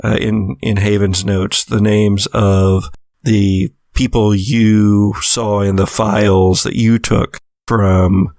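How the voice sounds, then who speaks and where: A man's voice: lowish voice, level tone, slow, creaky, a little mellow, no echo, studio quality. A man working as game master, narrating a horror tabletop game in improvised, conversational second person with some teasing.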